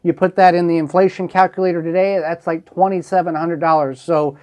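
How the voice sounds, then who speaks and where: A man's voice: a little high, steady tone, a little fast, a little mellow, dull audio. Folksy man doing a show-and-tell. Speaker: host showing a collectible.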